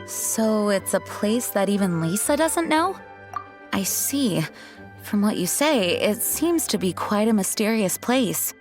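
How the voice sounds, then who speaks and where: A woman's voice: mid-range voice, lively intonation, takes it slowly, music in the background. A woman voicing a composed, dutiful female knight commander in a video game, formal and authoritative. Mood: composed, apologetic. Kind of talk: scripted video game dialogue.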